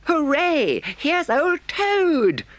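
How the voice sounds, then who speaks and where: A man's voice: very high voice, huge pitch swings, drawn-out words, no room sound, pitched above the performer's own voice, heavily acted. A man voicing a small, adoring mole in an audiobook, eager and full of exclamations. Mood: overjoyed. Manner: breathlessly delighted, exclamatory.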